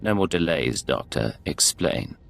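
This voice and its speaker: Very deep, cold male secret agent. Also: animated, unhurried, vocal fry, fairly bright tone, exaggerated voice. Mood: stern. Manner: curt, dry. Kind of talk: video game voice acting.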